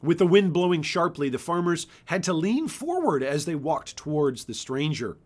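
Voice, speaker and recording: Male author-host, 30s, slightly high voice, storybook read-aloud and informal book presentation. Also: sing-song, moderate pace.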